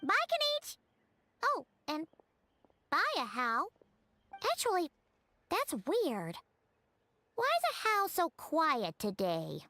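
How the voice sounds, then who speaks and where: A woman voicing a tiny floating girl companion in a video game who talks in an excitable, shrill way. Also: heavily acted. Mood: flustered. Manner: shrill, excitable, quick to protest. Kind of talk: video game cutscene voice acting.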